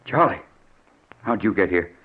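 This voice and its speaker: A man's voice: sits low, animated, a little slow, phone-quality sound. An actor in a 1940s radio thriller playing a terrified, cowardly man who pleads for his life, stammering in panic. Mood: frightened. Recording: thin, noisy 1940s radio recording.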